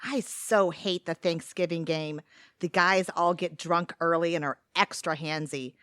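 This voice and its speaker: A woman's voice: lowish voice, fairly even intonation, measured pace, a bit bright, no room sound. A woman playing a blunt, weary restaurant waitress in a comedic audio play. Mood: weary, complaining. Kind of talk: comedic audio theatre acting.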